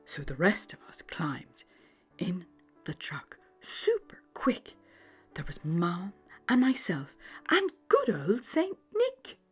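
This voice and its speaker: Expressive, often hushed woman storyteller. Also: on the low side, slow pace, breathy edge, faint music behind. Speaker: storybook narrator. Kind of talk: children's bedtime story read-aloud.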